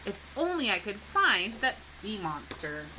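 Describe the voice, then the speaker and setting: Woman as bright, hopeful young girl. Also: medium pitch, sing-song, measured pace, a little hiss or hum, exaggerated voice, rough recording. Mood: pleased, hopeful. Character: hopeful, determined young fossil-hunting girl.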